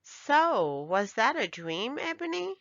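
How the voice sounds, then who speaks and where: Woman as a bright-voiced storybook big brother. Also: high voice, very animated, takes it slowly, dry recording, lo-fi sound, pitched above the performer's own voice, exaggerated voice. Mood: curious.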